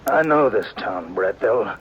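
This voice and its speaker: An actor playing a wounded, remorseful old sheriff who speaks weakly and haltingly in a 1950s radio drama. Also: male voice, fairly low pitch, animated, highs cut off, a little hiss or hum. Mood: pleading. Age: older. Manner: weak, halting, pleading.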